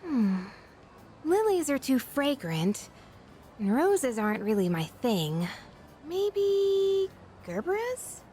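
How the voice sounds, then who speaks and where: A woman voicing a friendly, earnest, slightly shy teenage schoolgirl in a video game, soft-hearted and reflective. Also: sits high, big pitch swings, slow pace, long pauses, heavily acted. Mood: cheerful, playful. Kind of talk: English video game voice acting. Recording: background music under the voice.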